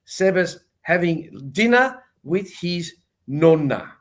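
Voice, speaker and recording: A man's voice: high-pitched, lively intonation, slow pace, fairly bright tone. An older man hosting a casual panel show over a video call, sounding nasal and throaty from a cold, chatty and jokey. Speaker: host.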